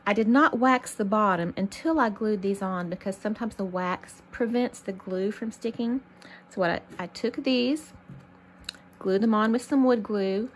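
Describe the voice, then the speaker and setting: A woman's voice: mid-range voice, slight background noise. A woman from Texas hosting a casual crafting tutorial, chatty and thinking aloud as she works. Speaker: craft channel host.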